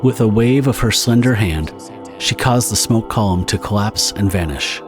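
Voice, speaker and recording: A man narrating a scripted dramatic story in a measured, theatrical storytelling manner. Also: lowish voice, lively intonation. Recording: music under the narration.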